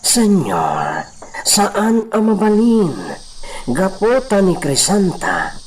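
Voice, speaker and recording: Slow, animated humble servant. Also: male voice, high voice, slight background noise, full character voice, low-quality recording. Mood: hesitant, reporting. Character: Baldo, humble male hacienda servant, loyal but grumbling. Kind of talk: Ilocano radio drama acting.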